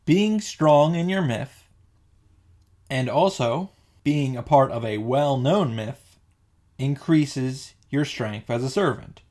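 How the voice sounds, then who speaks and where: A man's voice: medium pitch, animated, slow, a little mellow. A man hosting a game reaction, reading visual novel text aloud and adding informal, sweary commentary. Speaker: video game reaction host. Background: game music.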